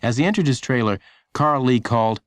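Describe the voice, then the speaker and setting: A man reading a children's audiobook as scripted narration, in a measured, dramatic storytelling manner. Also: medium pitch, lively intonation, dry recording.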